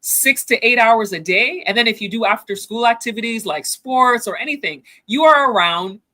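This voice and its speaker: A woman's voice: a little high, moderate pace, bright, forceful tone. A woman with a Canadian accent, born in Ghana and raised in Canada, giving earnest, emphatic commentary on a video call. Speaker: co-host. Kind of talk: news commentary chat.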